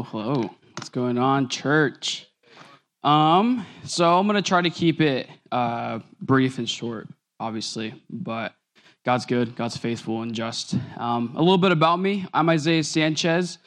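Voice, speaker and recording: A man's voice: medium pitch, expressive, unhurried, a bit bright. A man in his twenties preaching in an earnest, informal way, with fillers and self-corrections as he reads scripture. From Nebraska. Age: 20s. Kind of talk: sermon.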